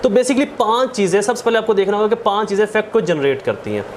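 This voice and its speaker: A man's voice: high-pitched, expressive, quick pace, background noise. A man with a Pakistani accent giving an explanatory law lecture, didactic, listing points and repeating key phrases. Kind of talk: explanatory lecture mixing Urdu with English legal terms.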